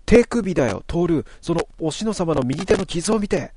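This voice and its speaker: A man voicing an excited young girl who lays out her reasoning. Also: fairly high pitch, normal speed, slight background noise, pitched above the performer's own voice, natural voice.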